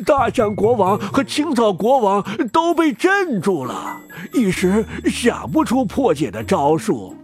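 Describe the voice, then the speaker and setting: A man narrating a children's story in an expressive manner. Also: high-pitched, takes it slowly, few pauses. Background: music.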